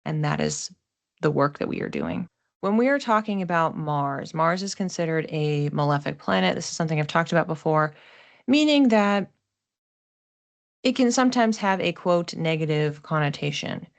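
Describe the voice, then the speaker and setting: A woman with a low-pitched voice talks through an astrological forecast as its host. Her delivery is conversational and explanatory, and she slips into quoting imagined reactions as she goes. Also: moderate pace, creaky. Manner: casual, explanatory, acts out imagined thoughts.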